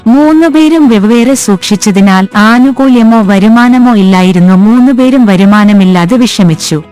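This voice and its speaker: A woman's voice: fairly high pitch, normal speed, darkish tone. A woman reading scripted moral stories for children as the narrator. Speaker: story narrator. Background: background music.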